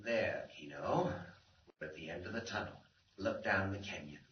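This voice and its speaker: An actor playing a cold-blooded, mocking criminal mastermind in a 1940s radio drama, commanding and theatrical. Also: male voice, sits low, normal speed, natural voice. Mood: cold, sinister. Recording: noisy, muffled 1940s radio recording.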